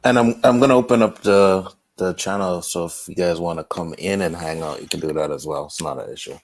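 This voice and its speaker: Very deep-voiced man, laid-back. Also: brisk, no room sound. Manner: laid-back, friendly trash talk. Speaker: streamer co-host. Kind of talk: casual stream chat.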